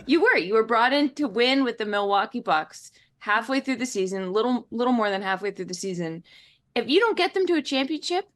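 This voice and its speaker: A woman co-hosting a basketball podcast, giving a playful, animated pep talk in casual chat. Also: fairly high pitch, fairly quick. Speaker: podcast co-host.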